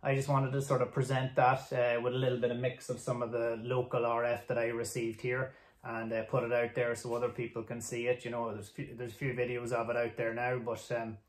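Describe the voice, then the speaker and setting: A man's voice: medium pitch, monotone, a little fast. An Irish man in his forties rambling casually and appreciatively to camera as a hobbyist host. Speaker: video host, amateur radio hobbyist. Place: small indoor home radio room. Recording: roomy home-office sound.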